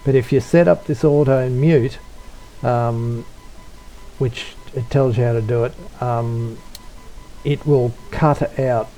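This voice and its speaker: A man giving an unscripted software tutorial, talking in a low-key, hesitant way as if thinking aloud. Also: steady tone, slow pace, soft background music, rough recording. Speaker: tutorial presenter, radio hobbyist.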